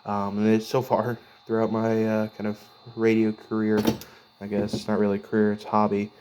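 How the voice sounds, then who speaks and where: A man with a slightly low, very even voice talks through gear in an informal, unscripted way. He is plain-spoken and halting, often pausing partway through a sentence. There is some noise behind him. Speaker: radio hobbyist presenting own gear. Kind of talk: casual unscripted gear walkthrough.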